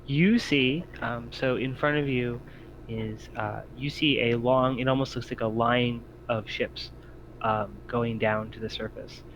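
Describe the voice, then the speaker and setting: A man's voice: medium pitch, steady tone, measured pace, fairly bright tone. A man working as a game master, improvising narration for a tabletop game and piecing descriptions together hesitantly. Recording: muffled, faintly noisy home mic.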